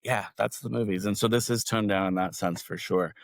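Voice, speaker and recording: A man's voice: lowish voice, steady tone, fairly quick, creaky, dry recording, studio quality. A man co-hosting a podcast in loose, slangy conversation, mimicking the reactions he talks about. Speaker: podcast co-host. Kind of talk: casual chat discussing books and audiobooks.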